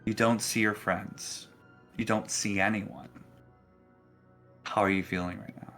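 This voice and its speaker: A man narrating a tabletop roleplaying game as dungeon master, halting and repeating words as he goes. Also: fairly low pitch, fairly even intonation, gravelly, fairly bright tone. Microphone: online group call. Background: music.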